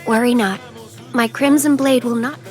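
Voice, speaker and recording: A woman's voice: on the high side, fairly even intonation, moderate pace, lightly acted. A woman playing an earnest, heroic young swordswoman in scripted video game lines. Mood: bold, eager. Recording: game music under voice.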